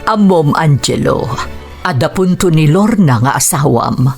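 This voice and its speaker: A woman's voice: sits low, lively intonation, unhurried, vocal fry, darkish tone, faint music behind, heavily acted. A woman with a Filipino accent acting a worried, admonishing older mother in a radio drama.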